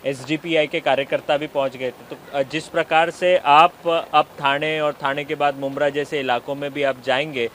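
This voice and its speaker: A man's voice: fairly high pitch, steady tone, normal speed, hardly any pauses, fairly bright tone. A man reporting and interviewing on location for TV news, in hesitant, filler-heavy phrasing. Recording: outdoor street noise, traffic.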